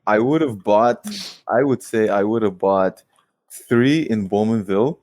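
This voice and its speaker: A man in his late twenties, a guest investor telling stories in a casual interview with quick rueful asides. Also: lowish voice, darkish tone, no room sound. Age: 20s. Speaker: guest real estate investor.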